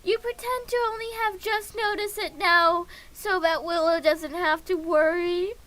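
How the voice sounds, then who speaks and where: A woman livestreaming a game in a casual, excitable way, reading the text aloud and reacting with giggly gushing. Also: extremely high pitch, a little slow.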